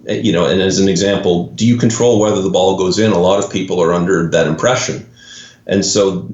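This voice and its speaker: A man with a Canadian accent talks through ideas as an interview guest, working through his thoughts with examples and false starts. His voice sits a little low. The recording is roomy and slightly dull, with a lo-fi edge. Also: darkish tone. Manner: thoughtful, restarts phrases, explains with examples. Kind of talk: explanatory interview talk.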